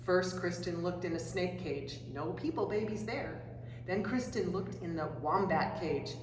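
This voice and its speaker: A woman reading a children's storybook aloud in an expressive, dramatic way, drawing out key phrases. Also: lowish voice, measured pace. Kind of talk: animated read-aloud storytelling. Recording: roomy echoing hall, distant mic, faint noise.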